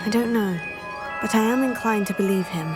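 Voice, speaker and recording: A woman's voice: mid-range voice, fairly quick, over music, lightly acted. A woman voicing a polite, curious young witch student in a video game, composed in manner. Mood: curious, reasoning. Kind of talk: video game dialogue acting.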